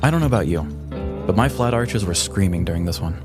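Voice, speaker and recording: A man's voice: low voice, animated, fast talker, over loud music. An American man co-hosting a podcast, speaking in a storytelling and conversational style. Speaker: podcast co-host. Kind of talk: scripted true crime narration and casual banter.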